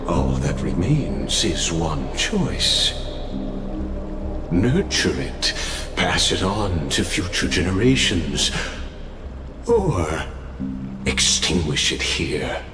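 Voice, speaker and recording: A man playing a grandiose, sinister lord in a fantasy video game, with solemn, theatrical, deliberate delivery. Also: deep voice, full character voice. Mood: grave, challenging. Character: grandiose, sinister male lord shedding a scholar's masquerade. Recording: echoey reverberant voice over music.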